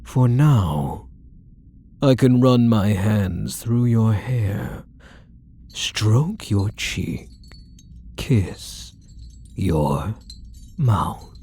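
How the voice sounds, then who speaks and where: A man playing a possessive, obsessively doting kidnapper in an intimate ASMR roleplay, hushed and menacingly tender, often slipping into whispers. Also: lowish voice, lively intonation, drawn-out words, pauses often, slightly rough, heavily acted. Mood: tenderly obsessive. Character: possessive male yandere kidnapper, obsessively doting on captive spouse.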